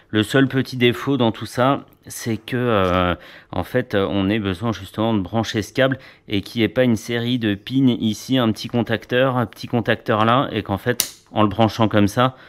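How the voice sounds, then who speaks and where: A man giving a casual, chatty hands-on product review in an informal way. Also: mid-range voice.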